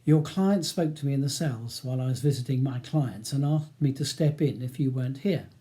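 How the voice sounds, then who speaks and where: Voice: medium pitch, fairly quick, mellow, natural voice. A reader voicing a careful male barrister who explains himself in a conciliatory, placating way.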